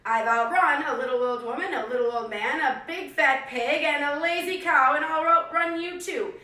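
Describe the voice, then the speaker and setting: A woman's voice: sits high, moderate pace, pushed and bright. A woman voicing a cheeky, boastful little gingerbread man with sing-song taunts in a children's storybook read-aloud. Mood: boastful, taunting. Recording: echoey room, distant microphone.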